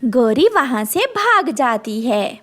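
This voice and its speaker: High-voiced woman narrating a children's story. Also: very animated, slow, fairly bright tone.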